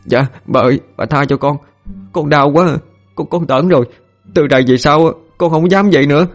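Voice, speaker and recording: A man's voice: medium pitch, a little fast, darkish tone, faint music behind, voice pushed up, close to an everyday voice. A man voicing a timid young servant boy, meek and tearful, with a Southern Vietnamese accent in an audio story. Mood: pained pleading.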